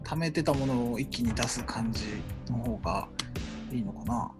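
A man's voice: medium pitch, normal speed, loud music behind the voice. A man chatting casually as a radio co-host. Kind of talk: casual chat.

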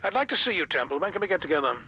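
A brisk police chief on the phone. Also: male voice, sits high, sing-song, slightly rough, full character voice. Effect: telephone line. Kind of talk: radio drama acting. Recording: muffled telephone sound.

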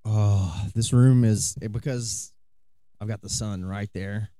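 A laid-back man co-hosts a podcast, trading easy banter and laughing often. His voice sits low and mellow. The sound is close and dry. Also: steady tone, takes it slowly, no room sound. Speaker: podcast co-host. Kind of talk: casual chat. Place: hot small room indoors.

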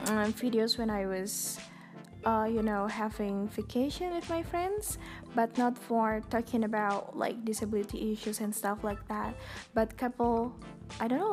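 A young woman with an Indonesian accent hosts her podcast in a casual, chatty monologue, full of fillers. Her voice sits fairly high and she talks at an unhurried pace. Faint music runs underneath. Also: darkish tone. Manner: talkative, chatty with many fillers. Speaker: podcast host.

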